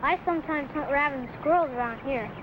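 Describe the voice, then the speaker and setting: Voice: sits high, quick pace, lightly acted. A young performer playing an eager, boastful frontier boy with an earnest manner, in 1950s radio adventure style. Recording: muffled lo-fi old radio, noisy.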